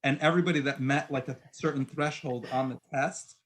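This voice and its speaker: A young man hosting a podcast, telling stories in a loose, animated way with lots of restarts. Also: mid-range voice, a little fast. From born in Egypt, raised in Puerto Rico, Queens and Long Island. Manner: animated storytelling with frequent restarts and "like". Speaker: podcast host. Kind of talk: casual conversation.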